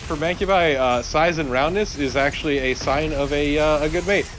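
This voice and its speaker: A man's voice: fairly high pitch, moderate pace, a bit bright. Dry-humoured man, casual, with background noise. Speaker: let's play co-commentator. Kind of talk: casual game commentary. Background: game sound.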